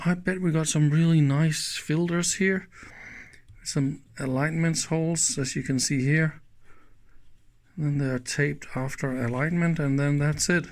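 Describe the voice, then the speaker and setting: A man's voice: a little high, steady tone, a little slow. A man doing casual, hands-on teardown commentary, thinking aloud and openly admiring the equipment. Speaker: electronics teardown host.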